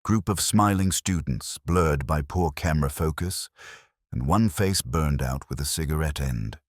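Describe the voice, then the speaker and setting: A man narrating first-person detective fiction in a measured, literary, brooding manner. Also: a bass voice, dry recording, clean studio sound. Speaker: audiobook-style narrator.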